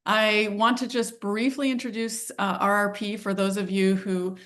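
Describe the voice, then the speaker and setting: Woman host, unhurried welcome remarks, mid-pitched, correcting herself now and then. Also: level tone, fairly bright tone. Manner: slow, measured with fillers and self-corrections. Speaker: nonprofit host introducing webinar.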